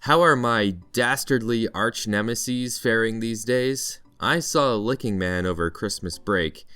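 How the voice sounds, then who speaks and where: A man's voice: lowish voice, a little slow, bright, forceful tone, clear, resonant tone. A Canadian man narrating a scripted vlog in a playful storytelling way with mock-dramatic flourishes. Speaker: vlog narrator, teacher in Japan.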